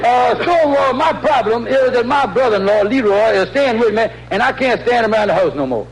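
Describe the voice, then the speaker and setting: A noisy old radio broadcast carries a sly lodge-leader husband with a high-pitched voice. He bluffs and stalls in a folksy, hesitant way, as in classic radio comedy. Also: lively intonation, fairly quick. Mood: troubled. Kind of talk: 1950s radio comedy acting.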